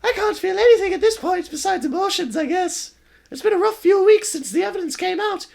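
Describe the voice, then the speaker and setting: A man voicing a young ghost girl, gentle and forgiving, in a high, bubbly voice. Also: lively intonation, fairly quick, gravelly, heavily acted.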